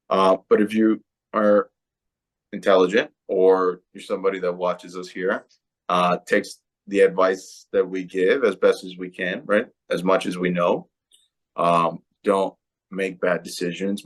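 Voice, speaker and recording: A man's voice: deep voice, husky. A man co-hosting a casual podcast, talking in a loose, rambling way and often ending points with "right?". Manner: conversational, rambling, tag questions. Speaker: podcast co-host.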